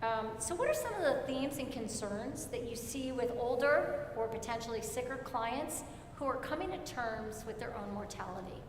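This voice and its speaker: A woman's voice: animated, moderate pace, few pauses. A woman in her fifties moderating a community panel conversation. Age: 50s. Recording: echoey hall, rumbling background noise.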